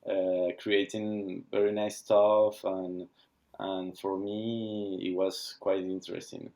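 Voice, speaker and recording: Slow, halting man. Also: low-pitched, flat delivery, mellow. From Argentina. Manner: halting, searching for words in English. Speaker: watch brand founder. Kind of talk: casual interview.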